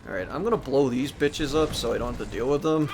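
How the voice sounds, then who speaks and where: A man streaming a video game, reacting loudly, swearing and laughing as he plays. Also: medium pitch, fast, a little hiss or hum. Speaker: gamer streaming a playthrough. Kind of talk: live gameplay commentary.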